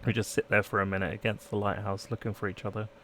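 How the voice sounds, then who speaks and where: A man's voice: fairly low pitch, flat delivery, fast talker, fairly bright tone, a little hiss or hum. A man live-streaming a let's play, reading game text aloud and chatting in playful asides. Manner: playful asides, trying out accents.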